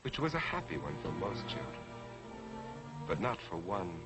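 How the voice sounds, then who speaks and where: A man's voice: low voice, sing-song, normal speed. A man giving formal, theatrical literary narration for a 1940s television drama. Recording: old 1940s television soundtrack, music behind.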